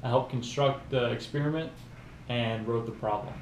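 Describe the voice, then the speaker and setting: A young man from Kentucky, an engineering student reading a class presentation quietly and levelly. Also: medium pitch, normal speed, slight background noise, poor audio. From Lexington, Kentucky. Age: young adult.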